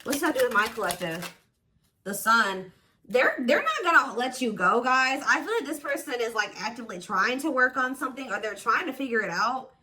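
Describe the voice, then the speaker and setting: A woman's voice: a little high, very animated, brisk, sharp tone, slight background noise. A woman giving a casual, intuitive tarot reading to viewers, speaking informally and with emphatic assurance. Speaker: tarot reader, host.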